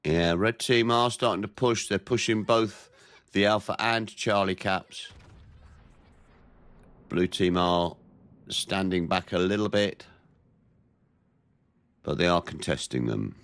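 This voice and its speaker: A man with a head cold, sounding nasal and snotty, giving steady play-by-play commentary over a naval battle game. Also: sits low, dry recording. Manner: measured, plain-spoken play-by-play. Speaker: gameplay commentator.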